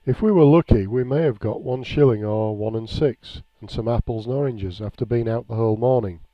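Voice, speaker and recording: A man reading a nostalgic written memoir aloud in a measured, plain storytelling way. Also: medium pitch. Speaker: reader of a written local-history account. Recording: dull, lo-fi, echoey room.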